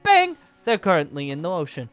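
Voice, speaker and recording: A boastful celestial wizard for a Minecraft roleplay. The voice is smooth and wildly animated, full of grand, genteel flourishes and cackling glee. Soft music runs underneath. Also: male voice, on the high side, a little fast. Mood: gleeful, boastful. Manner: theatrical, flamboyant, cackling, pompous. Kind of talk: improvised Minecraft fantasy roleplay acting.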